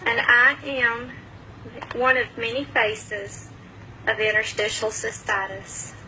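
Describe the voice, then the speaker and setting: High, bright woman from Tennessee. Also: fairly even intonation, slow, slight background noise, rough recording. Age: 40s. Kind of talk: short personal introduction.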